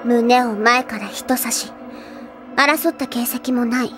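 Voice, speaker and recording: Self-assured teenage girl detective: high, declarative, theatrical anime delivery. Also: takes it slowly, music in the background. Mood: brisk, confident. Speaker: voice actress. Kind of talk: anime audio drama acting.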